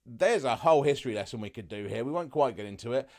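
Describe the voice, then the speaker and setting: A man with a naturally loud voice, talking casually to camera as a travel vlogger, loose and full of fillers and asides. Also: medium pitch, lively intonation, fast talker.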